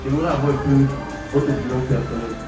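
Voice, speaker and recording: A man's voice: mid-range voice, fairly even intonation, fast. A young man puts a formal, polite question from the audience in careful phrases. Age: young adult. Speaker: law student asking a question from the audience. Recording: echoey hall, distant mic, music behind.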